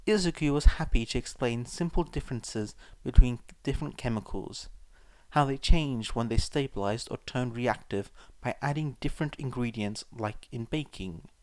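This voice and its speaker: A man's voice: medium pitch, normal speed, a little mellow, dry recording. A man reading scripted fiction aloud as an audiobook-style narrator. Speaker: podfic narrator.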